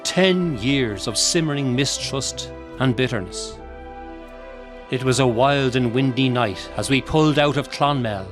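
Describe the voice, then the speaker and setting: An actor playing a weary, patient but strained Irish circus manager in a radio drama. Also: male voice, mid-range voice, unhurried, over music, close to an everyday voice. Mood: sombre narrating. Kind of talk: radio drama acting with narration.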